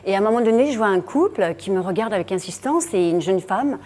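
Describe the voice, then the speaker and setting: A woman guest on a TV show telling an anecdote, animated, laughing and restarting her words. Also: mid-range voice, quick pace, a little hiss or hum.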